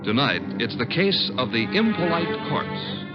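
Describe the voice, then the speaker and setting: Male vintage radio announcer, highish and sweeping, emphatic delivery, loud music, muffled recording. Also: big pitch swings, measured pace. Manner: theatrical, emphatic. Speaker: announcer-narrator. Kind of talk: 1940s radio drama announcing.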